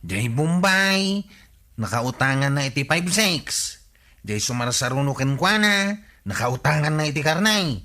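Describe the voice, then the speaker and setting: Casting: crisp, forceful villager reporting complaints, earnest, Ilocano-accented, broadcast comedy. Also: male voice, on the high side, lively intonation, measured pace. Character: earnest village informant listing complaints to his uncle. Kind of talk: broadcast comedy radio drama acting.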